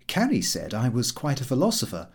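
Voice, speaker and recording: Animated, slightly husky male narrator. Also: medium pitch, brisk. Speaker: audiobook narrator. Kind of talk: expressive scripted narration of a comic diary.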